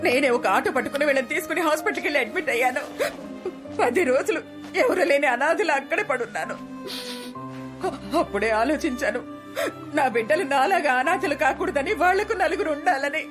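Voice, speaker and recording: A woman's voice: high voice, animated, fairly quick, long pauses, over music. An actress in her fifties playing a self-blaming mother of four who rambles and slides into a tearful confession, in a film drama. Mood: confessional. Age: 50s. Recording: film score under dialogue.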